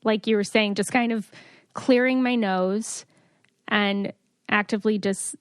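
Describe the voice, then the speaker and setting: Woman, podcast host, medium pitch, dry close sound, casual chat, informal and agreeable. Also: fairly even intonation, unhurried, clear, resonant tone, dry recording.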